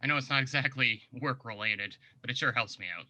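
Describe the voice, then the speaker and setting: A man plays a self-absorbed office boss in a drama table read. His voice is very sharp and he talks fast, tossing out requests offhandedly. The sound is dry, with no room to it. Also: mid-range voice, no echo. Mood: oblivious, entitled.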